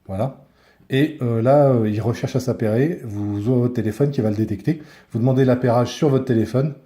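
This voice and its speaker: A man's voice: medium pitch, a little fast, mellow. A man giving a casual hands-on product review, speaking informally and often searching for his words.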